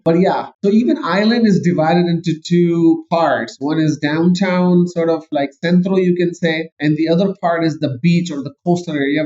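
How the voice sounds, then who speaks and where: Pakistani-accented guy, rambly and eager, kinda high voice, muffled lo-fi audio. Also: mellow. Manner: eager, rambling, self-joking. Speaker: guest. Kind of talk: casual interview chat.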